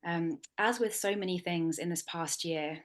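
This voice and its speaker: A woman hosting and moderating an online panel over a video call, speaking in a soft, measured way with frequent ums. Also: lowish voice.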